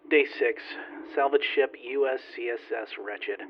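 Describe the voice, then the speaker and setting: A man playing a bitter, haunted lone flight engineer recording halting, sardonic log entries in a sci-fi horror audio drama. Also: medium pitch, natural voice. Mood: shaken, weary. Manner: halting, confessional, sardonic, profane. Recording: dull lo-fi, faint noisy radio-like hiss.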